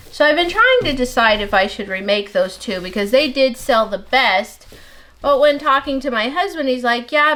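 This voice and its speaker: A middle-aged woman with a slightly nasal voice chats in a rambling, casual way while she works. Her words run on quickly, as if she barely pauses to breathe. Her pitch sits in a middle range. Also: a bit bright. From United States. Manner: rambling, talks fast without pausing for breath. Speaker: soap maker. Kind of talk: casual chat while working. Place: home soap workshop.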